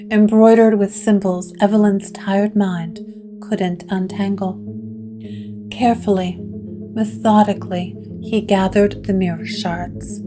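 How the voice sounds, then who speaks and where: A woman narrating a gothic audio drama from a script, in a deliberate storytelling manner. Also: medium pitch. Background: music.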